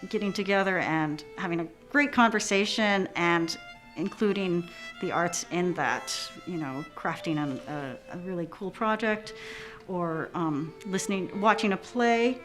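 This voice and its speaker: A woman's voice: lowish voice, unhurried. A woman musician speaking reflectively in an interview, thoughtfully searching for her words. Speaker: orchestra musician. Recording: prominent music behind the voice. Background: string music.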